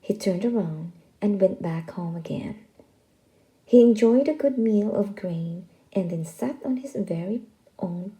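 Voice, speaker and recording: A woman reading a children's story aloud as scripted narration, expressive and deliberate in delivery. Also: mid-range voice, poor audio.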